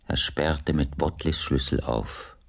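A man's voice: rumbling low, takes it slowly, vocal fry, husky. A man narrating a scripted audiobook drama as its storyteller.

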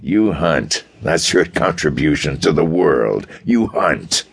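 A man voicing a gruff, exasperated federal police chief, blunt and grumbling, with a gravelly voice, in a pulp detective audiobook. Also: extremely low pitch, sing-song, slow pace, voice pushed up, heavily acted. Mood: teasing, amused.

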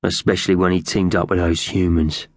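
A man's voice: sits low, flat delivery, brisk, no echo, studio quality. A man voicing a sinister magpie gang boss in a storybook, brooding and menacing. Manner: quiet, brooding, hissing menace.

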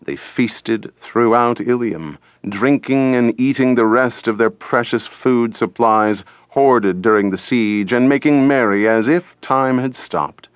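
A man reading an audiobook as dramatic scripted narration, deliberate and theatrical, close to the microphone. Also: medium pitch, smooth, no room sound, dull audio.

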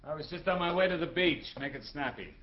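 An actor playing a surly, arrogant playboy in a radio drama, curt and dismissive. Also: male voice, on the high side, fast talker, muffled recording, heavy background noise, lightly acted. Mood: irritated, hostile.

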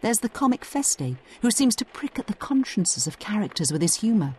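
A woman's voice: mid-range voice, big pitch swings, moderate pace, darkish tone, slightly rough. A woman narrating a scripted educational audio guide, explaining things conversationally as she addresses the listener directly.

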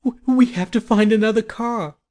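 Guy putting on a scared girl voice for a horror audiobook, way higher, anxious and pleading. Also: moderate pace, darkish tone, natural voice. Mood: anxious urgency. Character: frightened young women on the run, pleading and urgent.

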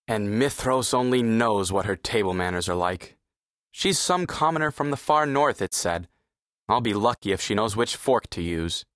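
An actor playing a bored, sarcastic young prince with an aristocratic, disdainful manner, in an audiobook. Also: male voice, fairly low pitch, lively intonation, moderate pace, fairly bright tone, no room sound, clean studio sound. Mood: wry disdain. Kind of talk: full-cast audiobook character acting.

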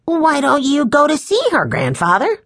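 A woman's voice: high-pitched, wildly swooping pitch, moderate pace, fairly bright tone, no room sound, studio quality, voice pushed up, full character voice. A woman voicing a worried, eager little boy in a children's audiobook, blunt and exclaiming. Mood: concerned, pleading.

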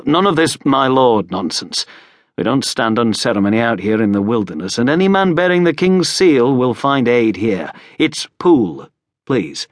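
A man's voice: medium pitch, big pitch swings, moderate pace, no room sound, clean studio sound, close to an everyday voice. A man voicing a hearty, plain-spoken, hospitable short broad lord in an audiobook, with a bluff, informal manner. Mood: bluff, welcoming. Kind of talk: audiobook character voice.

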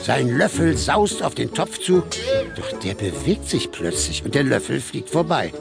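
A male narrator tells a children's story with expressive, swooping intonation and dramatic pauses. His voice has a creaky edge and sits over clear music. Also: mid-range voice.

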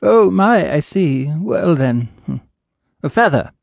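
An elderly ghost witch, motherly and meddling, fussing over a young friend, as a man puts her on. Her high voice swings wildly in pitch and has a gravelly crackle, and she drags her words out slowly. The recording is dry and close. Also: very animated, no room sound, full character voice. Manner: fluttery, motherly, teasing, calls others dearie. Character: old female ghost witch, doting and nosy.

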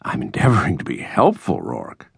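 A man voicing a stuffy, formal older male college dean who speaks in measured, disapproving phrases for a storybook. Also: deep voice, huge pitch swings, gravelly, breathy edge, no room sound, studio quality, full character voice. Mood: lecturing, exasperated.

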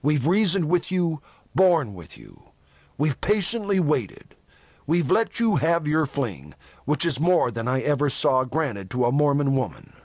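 A man's voice: fairly high pitch, very animated, measured pace, no echo, full character voice. A man voicing a grim, menacing religious elder. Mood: commanding, righteous. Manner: tense, harsh, commanding. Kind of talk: audiobook character dialogue in a western.

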